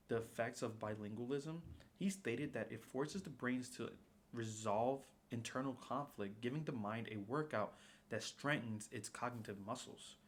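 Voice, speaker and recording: A man's voice: medium pitch, slight background noise. A young man, a native Spanish speaker, delivering a prepared persuasive speech for a class in a formal, read-aloud manner. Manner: formal, reading prepared remarks.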